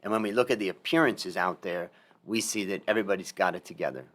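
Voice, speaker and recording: A man's voice: low-pitched, fast, fairly bright tone. A middle-aged man hosting a podcast, talking in a reflective, emphatic way and repeating his key points. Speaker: podcast host. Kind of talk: casual interview talk.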